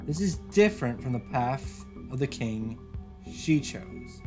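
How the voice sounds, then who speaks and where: A man's voice: medium pitch, lively intonation, a little slow. Man reading story narration over music. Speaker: host of visual novel highlights. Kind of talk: dramatic reading of translated narration with commentary.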